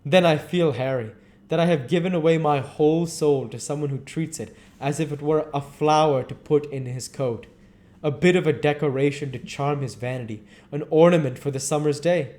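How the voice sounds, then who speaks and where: Man acting out fervent, lovestruck painter, raised pitch. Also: moderate pace, a little mellow, lightly acted. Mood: wistful. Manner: fervent, dramatic, confessional. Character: ardent, anguished male painter, earnest and infatuated. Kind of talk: storybook dramatic reading.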